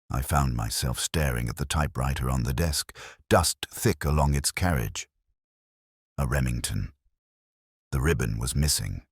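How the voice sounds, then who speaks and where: Guy doing a brooding inspector, super low husky voice, rises and falls a lot, detective audio drama narration. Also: no room sound, studio quality, exaggerated voice. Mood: brooding, uneasy. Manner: measured, brooding, literary delivery. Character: weary, cerebral English male police inspector narrating a case.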